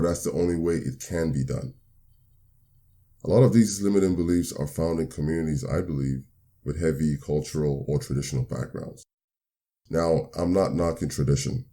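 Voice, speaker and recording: A man from Nigeria, hosting a solo motivational podcast in an upbeat, earnest and measured way. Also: rumbling low, mellow. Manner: upbeat, earnest and measured, slows to repeat definitions. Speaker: podcast host.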